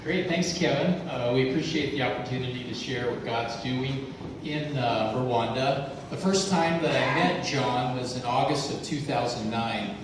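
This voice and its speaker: A man introducing a guest speaker in a church with a measured, earnest manner. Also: medium pitch. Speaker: ministry partner introducing guest. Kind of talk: church interview. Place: church sanctuary. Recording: echoey hall from far off with noise.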